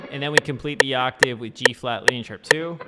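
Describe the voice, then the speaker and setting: A man's voice: medium pitch, brisk. A man teaching guitar on a casual livestream, talking loosely with lots of fillers. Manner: loose, rambling, full of fillers.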